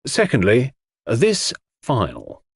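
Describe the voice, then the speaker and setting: A man's voice: mid-range voice, wildly swooping pitch, drawn-out words, husky, dry recording, full character voice. A man playing a police inspector in an audiobook, giving evidence in a formal, succinct and precise manner. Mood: official. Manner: official, precise.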